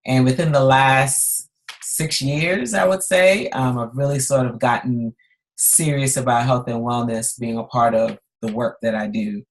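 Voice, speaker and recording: A woman in her forties from Guyana, raised in Brooklyn, chatting as a podcast guest in a lively, upbeat way. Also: very deep voice. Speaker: guest chef and health coach. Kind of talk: casual podcast chat.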